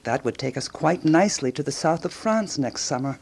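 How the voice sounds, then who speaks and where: A woman's voice: sits low, lively intonation, a little fast, gravelly, darkish tone, slight background noise. An eager, swooping lady sleuth. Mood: intrigued, scheming. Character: eager, self-assured woman amateur detective in 1920s Paris. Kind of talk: radio drama acting.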